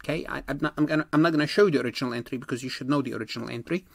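A man's voice: medium pitch, rapid-fire, vocal fry, dry recording. A man giving an exam-prep accounting lecture, explaining worked examples emphatically and repeating key phrases.

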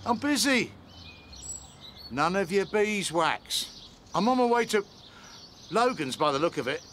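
Grumpy busy dad in a radio soap, slow talker, highish voice, birdsong behind. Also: male voice, big pitch swings, fairly bright tone, slight background noise, exaggerated voice. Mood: irritable. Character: gruff, busy, irritable father on the phone. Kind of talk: radio soap opera acting.

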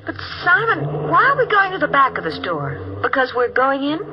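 A woman's voice: sits high, wildly swooping pitch, a little fast. A woman acting a naive, inquisitive young sidekick in a 1950s radio drama, earnest, timid and questioning. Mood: curious. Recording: muffled, noisy old radio recording.

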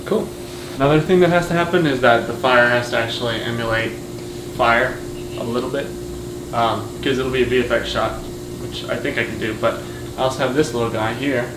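A man's voice: medium pitch, a little fast, background noise. A young man chatting casually to camera as a filmmaker, rambling in a slangy, self-deprecating way. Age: young adult. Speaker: vlogger and filmmaker. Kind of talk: casual behind-the-scenes video chat. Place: small home kitchen and living room, indoors. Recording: roomy echo, off-mic, music behind.

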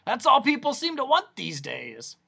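Bellowing, high-pitched manic doctor. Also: male voice, wildly swooping pitch, gravelly, bright, forceful tone, husky, heavily acted. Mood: surprised, proud. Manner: bellowing, theatrical, drawn-out emphatic words. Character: manic excitable doctor, loud noise specialist, eager salesman.

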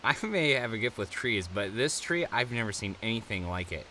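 A man's voice: on the low side, lively intonation, normal speed, pushed and bright, slight background noise, pitched below the performer's own voice, rough recording. A man voicing an earnest, polite, dreamy frontiersman who loves trees, in an audio drama. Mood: awed.

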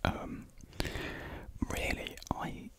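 A young man whispers softly and breathily in a casual, rambling ASMR chat, very close to a desk condenser microphone. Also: extremely slow. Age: young adult. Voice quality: soft breathy unvoiced whisper. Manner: rambling, informal. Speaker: ASMR creator. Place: small indoor room with painted block walls. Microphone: large desk condenser mic close to the mouth.

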